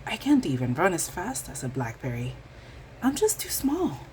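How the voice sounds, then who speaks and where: Woman playing a high-pitched, eager little mouse. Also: wildly swooping pitch, moderate pace, noisy background. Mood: dejected. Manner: exclaiming, earnest, emphatic. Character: small, eager, stubborn mouse, kind-hearted.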